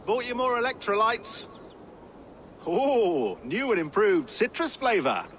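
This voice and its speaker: A man's voice: high-pitched, sing-song, a little slow, fairly bright tone, a little hiss or hum, full character voice. A man playing a pedantic but affectionate teammate in a video game, talking in a dry, wry manner. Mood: casual. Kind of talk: naturalistic video game acting.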